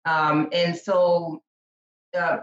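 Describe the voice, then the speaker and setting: A woman's voice: on the low side, level tone, very slow, a little hiss or hum. A Puerto Rico-born woman whose first language is Spanish, a business owner chatting casually as a guest, full of fillers and restarts. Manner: chatty, many fillers and restarts, laughs often. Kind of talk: casual interview. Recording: echoey, distant, dull call audio.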